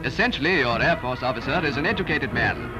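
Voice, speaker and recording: Rousing British newsreel man over loud music. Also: mid-range voice, expressive, fast talker. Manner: rousing, grandiose, declamatory. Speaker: newsreel narrator. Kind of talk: 1930s newsreel scripted narration. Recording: old film soundtrack from VHS, loud music.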